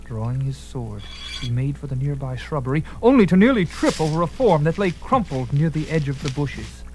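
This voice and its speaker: A man's voice: on the high side, sing-song, normal speed, a little mellow, rough recording. A man giving theatrical, suspenseful narration of a dramatized story. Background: music.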